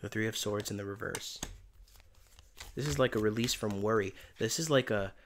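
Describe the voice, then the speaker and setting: A man giving a casual spiritual tarot reading in a soft, meandering, reflective way, his voice tired and giving out. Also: lowish voice, normal speed, slight background noise. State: voice giving out, tired by day's end. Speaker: tarot reader and channeler.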